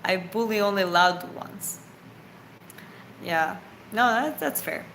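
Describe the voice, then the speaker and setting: A woman's voice: mid-range voice, animated, slow, gravelly, fairly bright tone, echoey room, slight background noise, poor audio. Chatty woman co-hosting a live Q&A. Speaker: live stream co-host. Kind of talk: casual Q&A chat.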